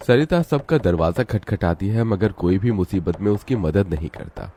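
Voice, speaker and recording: A man narrating a scripted cartoon moral story in a dramatic, expressive storytelling style. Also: sits low, normal speed, slight background noise.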